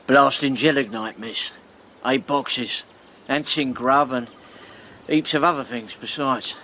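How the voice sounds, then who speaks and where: An actor playing a scruffy, plain-spoken riverboat captain, talking bluntly and haltingly. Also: male voice, mid-range voice, pushed and bright, a little hiss or hum. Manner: blunt, halting, slangy speech. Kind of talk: radio drama acting.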